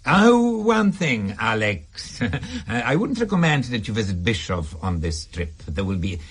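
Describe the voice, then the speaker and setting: An actor in a radio drama playing a sly older Soviet bank chairman, measured, knowing and calmly menacing. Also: medium pitch, slightly rough, heavily acted. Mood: veiled threat. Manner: measured, knowing, hesitant pauses.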